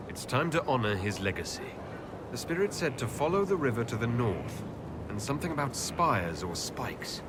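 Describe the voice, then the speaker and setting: A man as a composed heroic leader, mid-pitched. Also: sing-song, normal speed, heavy background noise, lightly acted. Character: composed male elf hero, inquiring leader of an inquisition. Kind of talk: video game dialogue acting.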